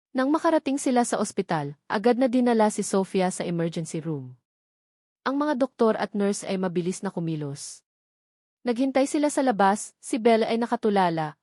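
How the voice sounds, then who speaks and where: A woman's voice: mid-range voice, a little mellow, no room sound, clean studio sound. A woman reading a sentimental story as dramatic scripted narration.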